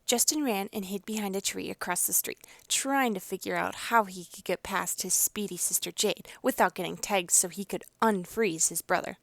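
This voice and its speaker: A woman's voice: medium pitch, normal speed, vocal fry, few pauses, a bit bright, dry recording. A woman narrating a children's picture book as a storyteller, reading expressively. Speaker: read-aloud storyteller.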